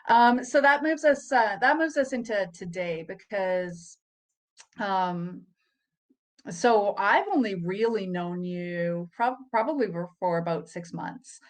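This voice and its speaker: A woman's voice: medium pitch, slow pace. Chatty woman hosting an interview. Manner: friendly, chatty, laughs easily. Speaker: host. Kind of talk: casual interview conversation.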